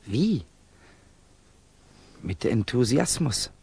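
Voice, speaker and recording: A man's voice: mid-range voice, big pitch swings, very slow, gravelly, warm tone, husky, heavily acted. An actor in a German radio drama playing a bitter, distrustful young Russian revolutionary man, touchy and brooding. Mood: brooding, guarded.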